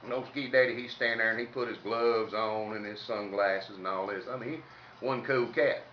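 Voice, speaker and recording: A man's voice: lowish voice, steady tone, moderate pace. Folksy Southern man, roomy distant recording. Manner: folksy, colloquial, salty. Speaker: comedic storyteller. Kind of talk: informal humorous storytelling. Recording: roomy, distant mic, faint noise, dull sound.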